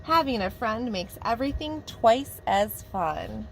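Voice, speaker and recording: Woman, preschool teacher, children's story read-aloud, expressive, fairly high voice, lively pitch. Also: a little slow, creaky, slight background noise, low-quality recording. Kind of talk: picture-book read-aloud for young children.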